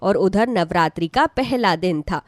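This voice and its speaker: A woman's voice: lowish voice, lively intonation, measured pace, no echo, clean studio sound. A woman narrating a scripted devotional story as a storyteller.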